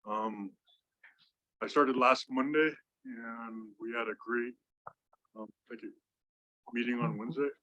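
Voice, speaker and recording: A man introducing himself at a meeting. His voice is raspy from dehydration and bright in tone but flat in pitch, and the recording is muffled. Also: measured pace, long pauses. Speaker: caucus director.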